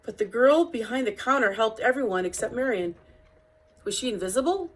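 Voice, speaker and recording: A woman reading a picture book aloud as narrator, with deliberate, expressive phrasing. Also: fairly high pitch, poor audio. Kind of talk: read-aloud storybook narration.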